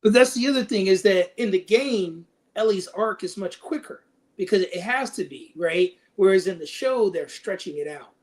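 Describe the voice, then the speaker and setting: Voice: medium pitch, animated, normal speed, darkish tone. A panelist in a casual discussion, thinking aloud and tentatively working through opinions. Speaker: panelist on a film and TV discussion show.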